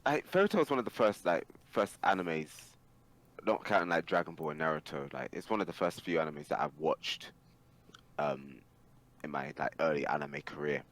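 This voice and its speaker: A man's voice: extremely low pitch, moderate pace, a bit bright, rough recording. A man talking casually and emphatically on a podcast as an opinionated, sweary anime fan. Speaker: podcast guest or co-host.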